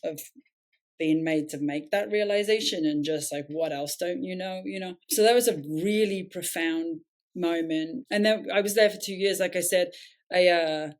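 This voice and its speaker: A woman's voice: fairly low pitch, normal speed. A woman with an English accent, a nonprofit founder telling her story in an interview, reflective and earnest, often correcting herself mid-sentence.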